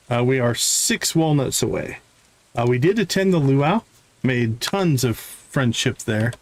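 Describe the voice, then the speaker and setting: A man's voice: medium pitch, a little hiss or hum. A man giving casual live gameplay commentary, talking viewers through what he is doing as he plays. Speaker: game streamer, host.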